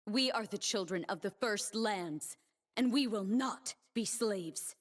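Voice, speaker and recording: A young female blade dancer for a video game. She speaks slowly and solemnly, with earnest resolve. Every line is measured and determined, the voice of a fighter devoted to her cause. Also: medium pitch. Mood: solemn, resolute. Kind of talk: scripted video game character voice lines.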